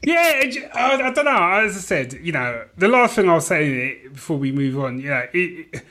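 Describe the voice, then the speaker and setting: Bright, forceful man in casual chat. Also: on the high side, sing-song, a little fast. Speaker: podcast co-host.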